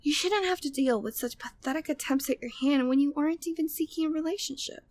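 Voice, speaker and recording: High-voiced flirty, devoted maid played by a woman. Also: fast talker, close to an everyday voice. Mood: annoyed, protective. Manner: formal, genteel phrasing, breathy sighs, teasing. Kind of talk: intimate ASMR roleplay, scripted monologue.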